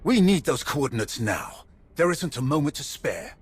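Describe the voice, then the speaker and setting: Very raspy, ominous warrior. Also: male voice, on the high side, sing-song, normal speed, no room sound. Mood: grim, urgent. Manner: grim, forceful. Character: intense, ominous, battle-worn male dark warrior. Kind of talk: video game voice acting.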